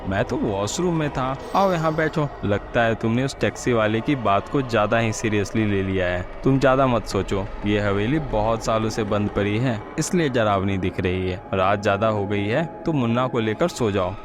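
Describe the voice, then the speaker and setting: Man playing a firm, sceptical husband, low voice. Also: normal speed, hardly any pauses, background noise, natural voice. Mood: reassuring. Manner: firm, explanatory. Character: sceptical husband raised abroad, self-assured, reassuring. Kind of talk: horror storybook dialogue.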